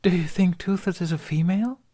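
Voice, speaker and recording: A man voicing a serene young Viking maiden for an audiobook, a mystical warden who speaks softly, formally and with knowing amusement. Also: high voice, a little fast, voice pushed up. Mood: gentle, amused.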